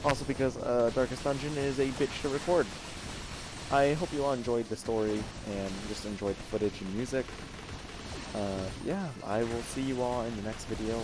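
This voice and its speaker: A man speaking off the cuff to viewers as a YouTuber, in a casual, hesitant and halting way. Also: mid-range voice. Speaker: YouTuber giving a closing note. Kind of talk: casual unscripted chat. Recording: clearly noisy amateur recording.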